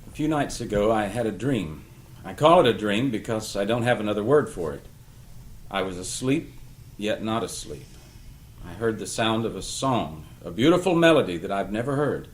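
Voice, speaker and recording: A man playing a sincere, dreamy painter in an audio drama read-through, speaking in a measured, earnest way. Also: on the low side, close to an everyday voice. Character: sincere, dreamy male painter recounting a mysterious vision.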